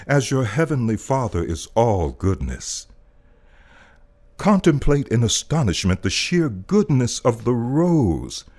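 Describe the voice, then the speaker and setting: A man narrating a spiritual text as a poetic reading, solemn and deliberate, with emphatic phrasing. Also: on the low side, a little mellow. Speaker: voice-over artist, narrator.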